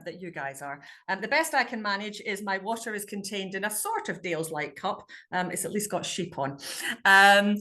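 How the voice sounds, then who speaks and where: A woman university researcher giving an online presentation, hesitant and pausing on "um" before nearly every phrase. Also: medium pitch, bright, forceful tone. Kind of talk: online presentation to a local society.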